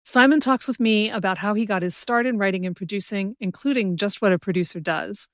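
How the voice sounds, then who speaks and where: A woman's voice: medium pitch, a little fast, no echo, clean studio sound. A woman speaking as the host of a conversational interview podcast. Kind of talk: scripted introduction and conversational interview.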